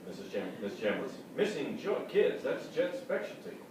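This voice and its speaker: Woman as a low, gruff detective man, echoey. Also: sing-song, normal speed. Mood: dry, reassuring. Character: laid-back, firm male detective, dog's owner. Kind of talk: theatrical staged reading. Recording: distant, very echoey theatre, noisy.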